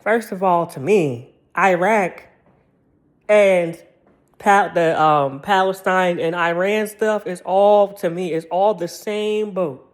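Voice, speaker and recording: A man's voice: high voice, takes it slowly. An American man in his thirties giving a casual, opinionated monologue in a sassy, slangy and emphatic way, often repeating his phrases. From United States. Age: 30s. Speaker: commentator.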